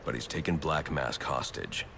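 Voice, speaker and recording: A grim vigilante hero in a game. The voice is extremely low and gravelly, terse and stern, with noise clearly behind it. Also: male voice, expressive, normal speed, heavily acted. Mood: grim, restrained. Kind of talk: brooding video game acting.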